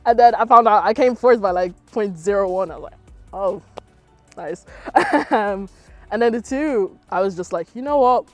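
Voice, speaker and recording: A woman's voice: medium pitch, normal speed. Chatty young Irish woman, faint music. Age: young adult. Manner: chatty, lots of "like", lively retelling. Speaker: athlete interviewee. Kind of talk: casual interview answers.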